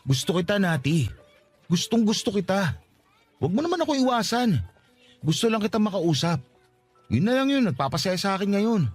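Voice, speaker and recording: A man's voice: high-pitched, very animated, moderate pace, long pauses, soft background music. An actor playing a lovestruck, persistent young working-class man, pleading insistently in a radio drama. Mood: pleading, lovesick. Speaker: voice actor in a radio-style love story. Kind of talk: Filipino radio drama acting.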